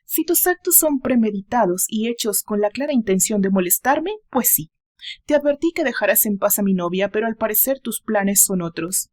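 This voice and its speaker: A woman's voice: medium pitch, animated, moderate pace, a little mellow, natural voice. A woman voicing a wealthy young businessman, a jealous boyfriend who is direct and controlled, in an audio romance. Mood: confrontational.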